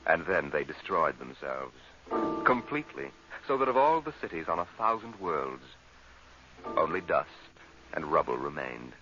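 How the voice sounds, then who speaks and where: A muffled old radio recording with music behind a solemn narrator. His deep voice is measured, grave and dramatic, in vintage science fiction style. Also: sing-song, exaggerated voice.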